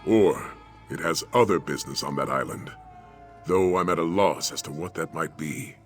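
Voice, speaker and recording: A man playing a grave, courteous veteran captain in a video game, speaking in a formal, measured way. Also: rumbling low, faint music behind. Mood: measured, businesslike. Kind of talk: video game character dialogue.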